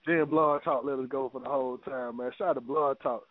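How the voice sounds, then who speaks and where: A man's voice: mid-range voice, fairly even intonation, a little fast, no room sound. An American man hosting an internet radio show, chatting casually in slang and often repeating his phrases. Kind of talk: casual chat. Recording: tinny phone-line internet radio.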